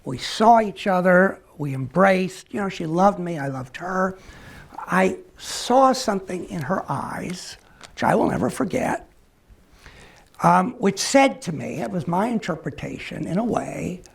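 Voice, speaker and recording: Gravelly, husky older American man. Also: high-pitched, expressive. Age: 60s. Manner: halting, reflective delivery with pauses. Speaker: academic speaker at a forum. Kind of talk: personal testimony talk, reflective storytelling.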